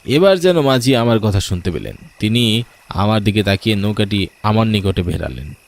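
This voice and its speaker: A man's voice: fairly low pitch, lively intonation, normal speed, vocal fry. A man telling a scripted first-person horror story as a dramatic storyteller.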